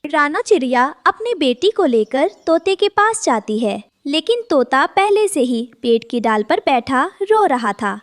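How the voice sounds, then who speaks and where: A woman's voice: sits high, sing-song, unhurried, few pauses, no echo, studio quality. A woman narrating a scripted children's cartoon story.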